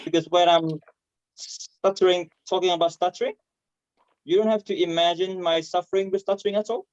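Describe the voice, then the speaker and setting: A man from Vietnam with a Vietnamese accent and a stutter, performing stand-up comedy haltingly over a video call. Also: sits high, fairly even intonation, clear, resonant tone, dry recording. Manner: hesitant, halting delivery with repeated words. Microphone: video call microphone. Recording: dull, muffled online call audio.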